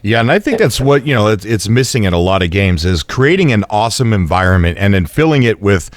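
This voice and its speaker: A man's voice: on the low side, big pitch swings, brisk, dry recording. A man hosting a gaming interview show, eager and stumbling over his words. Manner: enthusiastic, stumbling restarts. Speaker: show host.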